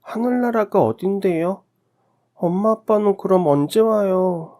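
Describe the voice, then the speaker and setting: Man voicing an innocent little child, pitched high. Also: a little slow, mellow. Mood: innocent, pleading. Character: innocent ten-year-old orphaned child, naive and questioning.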